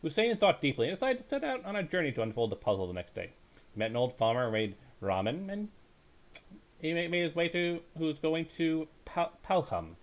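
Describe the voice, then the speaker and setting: A man's voice: on the high side, big pitch swings, poor audio. A man reading a bedtime story aloud in a dramatic storytelling style, stumbling now and then over the text. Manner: halting, stumbles and corrects himself while reading. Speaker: storyteller, reader.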